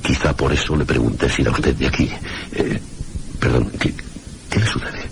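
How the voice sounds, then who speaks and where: Creaky bass castilian scholar obsessed with old legends, formal and slow-worded, radio play, noisy audio. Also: male voice. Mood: formal, curious. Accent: Castilian Spanish. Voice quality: very deep, gravelly. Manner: formal, deliberate, erudite. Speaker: radio drama actor. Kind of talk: mystery radio drama dialogue. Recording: noisy radio drama recording.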